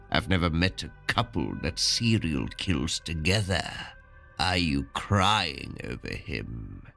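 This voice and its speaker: A man's voice: sits low, creaky, fairly bright tone, full character voice. A man playing a sadistic, taunting serial killer who collects victims as art, in a horror audio drama. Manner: taunting, menacing, unhurried.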